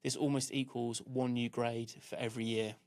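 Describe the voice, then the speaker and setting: A man reading scripted narration for a training film in a measured, instructional way. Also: medium pitch, flat delivery, vocal fry, darkish tone.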